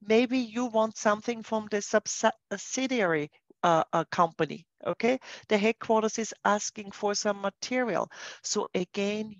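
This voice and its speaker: A woman's voice: medium pitch, dry recording. Woman trainer, dull lo-fi recording. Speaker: software trainer. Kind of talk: informal webinar-style software demonstration. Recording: dull, dry, lo-fi voice track.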